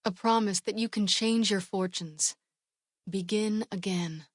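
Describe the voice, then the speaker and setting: An actress playing a solemn wasteland storyteller in a video game, husky and hushed. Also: female voice, medium pitch, measured pace, no echo, clean studio sound, lightly acted. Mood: solemn, wistful. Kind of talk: dramatic video game voice acting.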